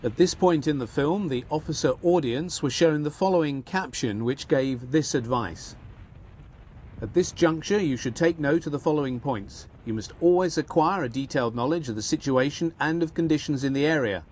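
A man's voice: a little high, moderate pace, darkish tone, a little hiss or hum. A man reading scripted documentary narration in a formal, explanatory way. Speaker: narrator of translated captions.

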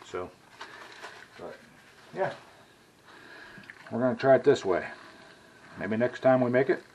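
A man's voice: mid-range voice, animated, takes it slowly, darkish tone, lo-fi sound, slight background noise. A middle-aged man, rambling in a folksy way as he cooks and talks in his home kitchen. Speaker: cooking vlogger. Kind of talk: casual cooking vlog.

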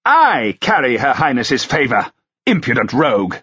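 A man's voice: medium pitch, very animated, moderate pace, fairly bright tone, husky, highs cut off, pitched above the performer's own voice. A man voicing a proud, stern medieval duke who speaks in a commanding, old-fashioned way. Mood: indignant.

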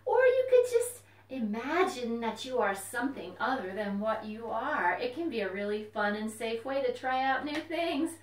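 A woman presents storytime to little children. Her voice is high with very animated intonation, and she encourages listeners with simple questions. She sounds some way from the mic in an echoey room. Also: normal speed, few pauses, distant mic. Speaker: children's librarian, storytime host. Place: indoors at home.

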